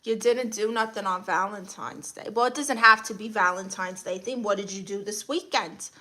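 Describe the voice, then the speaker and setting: A woman's voice: mid-range voice, normal speed, sharp tone. A woman with a Brooklyn accent hosting a casual livestream, greeting viewers and answering comments in a playfully sassy, blunt way. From Brooklyn, New York, USA. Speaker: livestream host.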